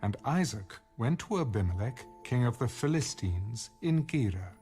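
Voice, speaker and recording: A man narrating a dramatized audio Bible in an expressive storytelling style. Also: mid-range voice, normal speed, faint music behind.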